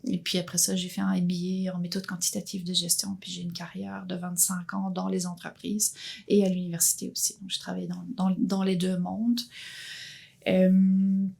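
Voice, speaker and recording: A woman in her fifties speaks with a gravelly, husky texture. She talks earnestly and thoughtfully, often restarting her sentences as she reflects on her path as a guest. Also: fairly low pitch, monotone, moderate pace, warm tone. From Belgium. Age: 50s. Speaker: guest entrepreneur. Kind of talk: reflective personal interview.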